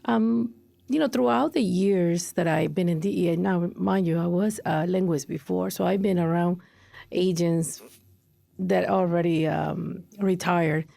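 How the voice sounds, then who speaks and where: A woman's voice: fairly low pitch, a little slow, creaky, mellow, no echo. A woman from Ecuador, a guest on a podcast, telling her career story in a chatty way and acting out her past reactions. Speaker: podcast guest, compliance analyst.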